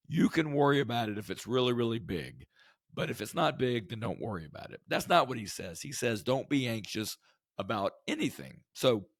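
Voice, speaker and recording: A man hosting a devotional podcast, his voice hoarse from the flu, teaching in an earnest, conversational way with rhetorical questions. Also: fairly low pitch, dry recording, studio quality. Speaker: podcast host.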